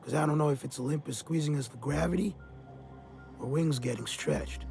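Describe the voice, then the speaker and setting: An actor playing a sly, boozy old mercenary with a gravelly voice and folksy, slangy talk in a video game. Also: male voice, a little high, fairly quick, darkish tone, soft background music. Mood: uneasy, wistful. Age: older. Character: gruff, sly, boozy old mercenary drifter with a guilty streak. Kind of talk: video game character dialogue.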